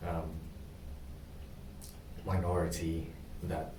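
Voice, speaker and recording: A young man from Indonesia answers interview questions in a deep, flat tone, often stopping to search for words. He sounds distant from the mic, in a room with a noticeable echo and faint ambient noise. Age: young adult. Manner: hesitant, many pauses and ums. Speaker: job candidate in a practice interview. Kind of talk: mock job interview answers.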